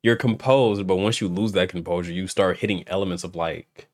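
A man's voice: deep voice, fairly even intonation, fairly quick. A man hosting a podcast, chatting casually with a guest and laughing often. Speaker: podcast host.

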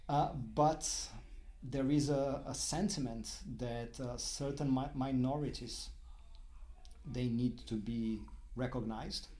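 A middle-aged man from Brazil with a Brazilian accent, a guest in a casual interview, speaking haltingly with many restarts and fillers. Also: mid-range voice, a little mellow.

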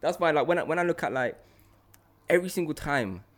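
A young man hosting a podcast, chatting casually and slangily in an animated, repetitive way. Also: fairly high pitch, quick pace. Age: young adult. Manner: animated, repeats phrases for emphasis, slangy. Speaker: podcast host. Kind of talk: casual opinionated chat.

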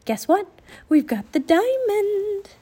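Woman as an excitable high-voiced little girl. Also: moderate pace. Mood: excited, triumphant. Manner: eager, exclaiming.

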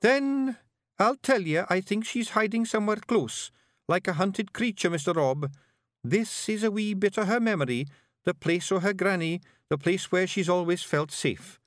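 A man's voice: high voice, normal speed, no echo, studio quality, pitched above the performer's own voice, natural voice. A man voicing a very young, small fairy-folk bard, earnest, anxious and respectful, with a Scottish accent in a storybook. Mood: frightened. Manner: earnest, nervous, deferential.

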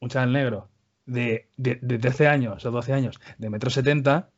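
A man's voice: mid-range voice, steady tone, normal speed. A man from Spain with a Spanish accent, co-hosting a casual podcast chat, talking hesitantly with many restarts and repeated words. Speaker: podcast co-host. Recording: muffled lo-fi audio.

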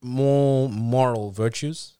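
A man's voice: mid-range voice, steady tone, slow, dark tone, clean tone, no echo, clean studio sound. A man from Africa co-hosting a casual podcast, explaining at length with restarts and frequent "you know"s. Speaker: podcast co-host.

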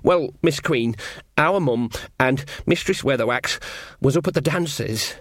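A man's voice: mid-range voice, animated, measured pace, no room sound, clean studio sound. A man voicing a frightened, simple young castle guard in a storybook, gabbling deferentially in anxious rustic speech.

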